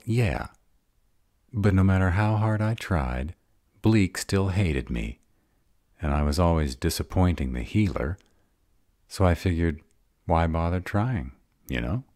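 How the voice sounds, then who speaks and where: A man's voice: low voice, darkish tone, dry recording, studio quality, exaggerated voice. A man playing a sarcastic bad-boy vampire in an audio roleplay drama, drawling, guarded but devoted. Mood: hurt, guarded.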